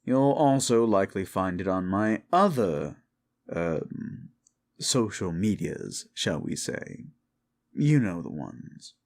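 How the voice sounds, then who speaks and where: A man's voice: low-pitched, very animated, takes it slowly, vocal fry. A man who makes audio roleplays, speaking casually to his listeners as himself. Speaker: audio roleplay creator and performer. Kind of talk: casual outro addressed to listeners.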